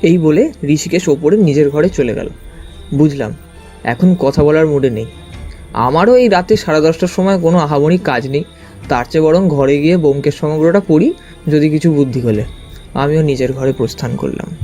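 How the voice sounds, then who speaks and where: High-pitched, mellow male story narrator. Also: normal speed, soft background music. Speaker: narrator and detective's companion. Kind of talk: first-person scripted story narration.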